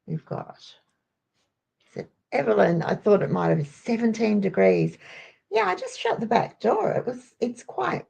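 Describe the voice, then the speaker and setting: A woman hosting a casual live crafting tutorial, chatty and rambling, with a croaky voice today. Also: medium pitch, huge pitch swings, normal speed, a little mellow. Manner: chatty, rambling, greets viewers by name. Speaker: crafting host.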